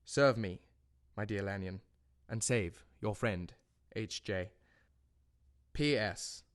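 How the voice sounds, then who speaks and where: Audio drama role, male actor: anguished gentleman doctor, lowish voice, measured formal appeal, grave and pleading. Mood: urgent pleading. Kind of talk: audio drama letter monologue.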